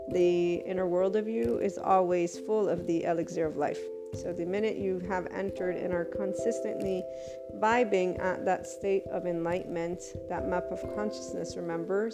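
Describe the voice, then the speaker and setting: A woman hosting a podcast on a headset mic, speaking loudly and enunciating her words carefully, getting passionate as she goes. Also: fairly low pitch. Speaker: podcast host. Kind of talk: scripted intro then casual podcast talk.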